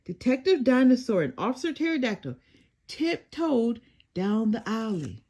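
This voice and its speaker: A woman reading a children's story aloud in an animated, deliberate and expressive narration. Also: fairly high pitch.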